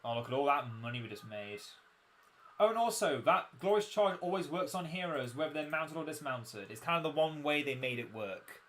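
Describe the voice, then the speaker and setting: A young man commentating casually on his own game livestream, his voice croaky, chatty and self-mocking as he explains the mechanics. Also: a little high, expressive, a little fast, fairly bright tone, a little hiss or hum. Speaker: game streamer.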